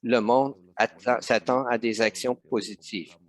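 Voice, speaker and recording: A man's voice: medium pitch, steady tone, measured pace. A man interpreting a webinar live, speaking with frequent hesitations and self-corrections. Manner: hesitant, many fillers and restarts.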